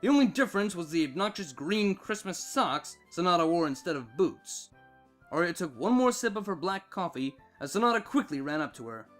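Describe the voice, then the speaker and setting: A man narrating a fan fiction story in an expressive, scripted reading style. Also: high-pitched, fairly quick, faint music behind.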